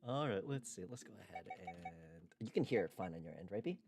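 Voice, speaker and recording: A man's voice: mid-range voice, huge pitch swings, fast, a little mellow. A man hosting a livestream, chatting casually and informally with some swearing. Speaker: streamer and host.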